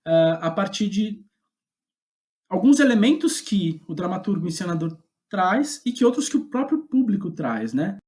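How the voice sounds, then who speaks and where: A man's voice: high voice, big pitch swings, normal speed, darkish tone. A man with a Brazilian accent speaking as a guest playwright in a reflective interview, thinking aloud and often rephrasing himself. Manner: hesitant, thoughtful, often reformulating.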